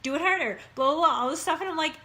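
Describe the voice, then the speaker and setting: A woman in her thirties with an Upper Midwest accent talks casually to her viewers as an online wellness coach. Her voice sits a little high and rings bright and forceful. She stays chatty and upbeat and keeps calling her audience "you guys.". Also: racing. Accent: Upper Midwest American. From Minnesota.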